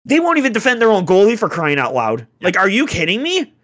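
A young man on a casual sports chat, ranting in an exasperated way with rhetorical questions, his voice going hoarse. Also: sits high, huge pitch swings, quick pace, fairly bright tone. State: getting hoarse. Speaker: podcast co-host, hockey fan.

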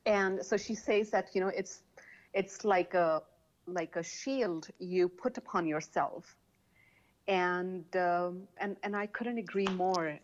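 A woman who speaks English as a second language, chatting casually as a guest author, animated, laughing often and restarting her sentences. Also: on the low side, slow, muffled recording. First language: not English. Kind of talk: casual interview chat.

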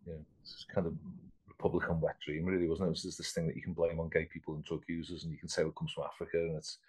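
A man in his fifties speaks in a deep, husky voice. He talks loosely and conversationally, restarting sentences and repeating words while he thinks aloud. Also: monotone, rapid-fire, a little mellow, low-quality recording. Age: 50s. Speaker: artist guest. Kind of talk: casual interview chat.

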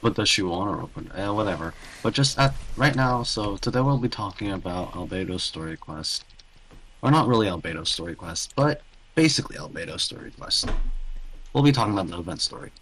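A man's voice: lowish voice, animated, brisk, fairly bright tone, slight background noise. A man co-hosting a casual gaming podcast, talking off the cuff in loose pieces and correcting himself as he goes. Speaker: podcast co-host.

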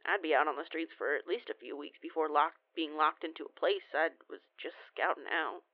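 A woman's voice: low-pitched, fairly quick, no room sound. A woman playing a cheeky, rambling young female werewolf cousin in an audio roleplay phone call, sheepish, folksy and a bit foul-mouthed. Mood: chatty, nervous. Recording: tinny band-limited phone line, very dry.

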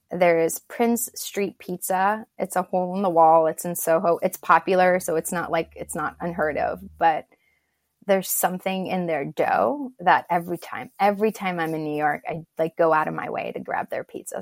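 A woman from Turkey talks as an interview guest, reflective and encouraging. Her voice sits a little low and carries a gravelly fry, and the sound is close and dry. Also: moderate pace, no room sound. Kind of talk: casual interview talk.

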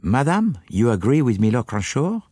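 A man voicing a self-assured, courteous and exacting detective in an audiobook, with a pompous, deliberate manner. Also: mid-range voice, sing-song, mellow, breathy edge, dry recording, studio quality, pitched above the performer's own voice. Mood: commanding, courteous. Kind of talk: audiobook character voice.

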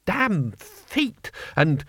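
A querulous old wizard, put on by a male narrator, raised in pitch with lots of swing. He grumbles and argues peevishly, as in a fantasy storybook, in a very dry recording. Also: big pitch swings, drawn-out words, fairly bright tone, husky, no room sound, heavily acted. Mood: defensive grumbling. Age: older. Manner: peevish, argumentative muttering.

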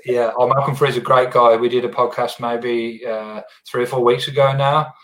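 A man hosting a casual podcast interview, relaxed and often repeating words as he searches for the phrase. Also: mid-range voice, normal speed, poor audio. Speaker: podcast host.

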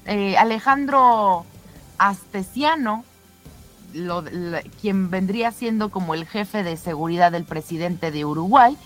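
A woman presenting the news in an informal, conversational way, somewhat halting and given to rhetorical questions. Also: mid-range voice.

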